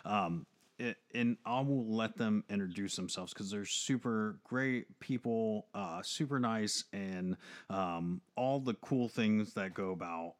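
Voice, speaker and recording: A man with a fairly low voice hosts a casual podcast. He rambles in a folksy way, piling on enthusiasm as he chats. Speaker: podcast host.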